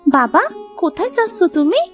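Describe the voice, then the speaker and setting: A woman's voice: extremely high pitch, very animated, moderate pace, a bit bright, soft background music, full character voice. A woman with a Bengali accent voicing an earnest, hopeful young village girl who pleads eagerly in a cartoon. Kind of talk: cartoon story dialogue.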